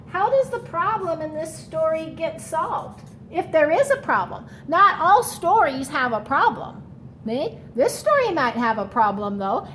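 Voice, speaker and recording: A woman teacher leading a children's read-aloud lesson, speaking in a deliberate, instructional way and repeating key words. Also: very high voice, a bit bright, slight background noise.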